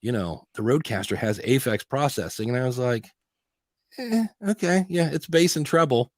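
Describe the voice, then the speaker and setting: A man's voice: mid-range voice, expressive, normal speed, a little mellow, no echo, clean studio sound. Chatty man rambling about gear. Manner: rambling, restarts and self-quotes. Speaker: podcast host. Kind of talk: casual tech chat. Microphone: studio dynamic mic.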